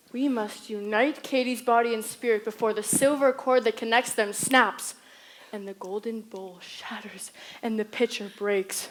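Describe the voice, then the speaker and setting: A young woman on a school stage playing a solemn, portentous mystic who declaims gravely. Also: a little high, unhurried, slight background noise, close to an everyday voice. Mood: solemn, foreboding. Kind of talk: school stage play acting.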